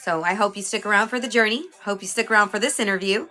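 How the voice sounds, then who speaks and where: A woman's voice: mid-range voice, fast talker, sharp tone, faint music behind. A woman from Houston, chatting casually as a livestream host, greeting viewers by name and sounding a little stuffy from a cold. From Houston, Texas.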